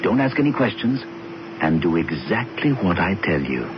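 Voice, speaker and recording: A man's voice: deep voice, sing-song, moderate pace. An actor playing an assured male schemer in a radio drama, persuasive and commanding. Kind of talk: 1970s radio drama acting. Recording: muffled, noisy old radio recording.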